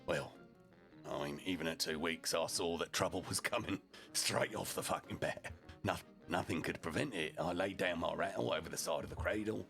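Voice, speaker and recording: A man reading a humorous first-person story aloud as a storyteller, with deliberate phrasing and profane asides. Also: low-pitched, gravelly, fairly bright tone, soft background music. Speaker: storyteller, streamer narrating in character as a deputy.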